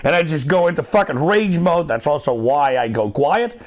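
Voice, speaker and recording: A man's voice: fairly high pitch, animated, moderate pace. A middle-aged man chatting casually while gaming, profane and rambling, laughing often. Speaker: streamer.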